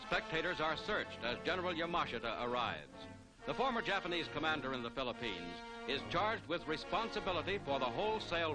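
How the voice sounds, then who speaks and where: An American man narrates a 1940s newsreel with a formal, declamatory delivery. His voice sits fairly high and moves in very animated sweeps. Loud music plays behind him on the vintage soundtrack. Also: rough recording.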